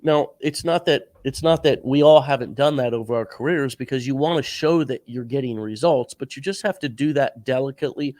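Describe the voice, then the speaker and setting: A man's voice: mid-range voice, brisk, few pauses, a little mellow, no room sound. A man hosting an interview podcast, stuffed up with a sore throat, giving earnest coaching advice in a casual chat. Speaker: podcast host.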